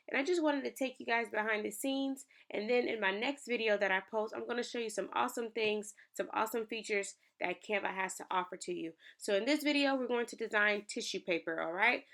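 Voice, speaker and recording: Raspy, chatty woman with gravelly voice. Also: medium pitch, fairly bright tone. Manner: chatty, upbeat, addresses viewers directly. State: raspy from early morning. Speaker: small business owner and lifestyle creator. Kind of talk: screen-share tutorial walkthrough.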